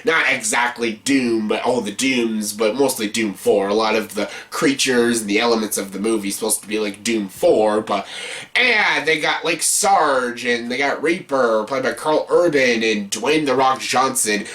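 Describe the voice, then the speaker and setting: Male video host, bright forceful voice, exasperated and foul-mouthed, casual review rant with emphatic repeats. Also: medium pitch, moderate pace. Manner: blunt, profane, exasperated. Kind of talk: casual ranked-list movie review rant.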